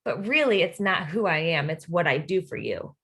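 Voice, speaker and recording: A woman's voice: lowish voice, normal speed, creaky. A woman podcast host chatting casually about faith and self-help, acting out imagined quotes as she talks. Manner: animated, acts out imagined quotes.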